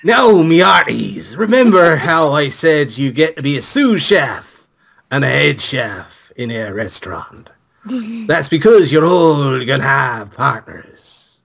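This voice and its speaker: A man's voice: fairly high pitch, very animated, slow pace, gravelly, fairly bright tone, heavily acted. Man putting on a hammy, piratical cooking professor. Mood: jolly hammy. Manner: exaggerated pirate talk. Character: piratical male cooking professor, jolly and menacing. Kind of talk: hammy visual novel voice-over.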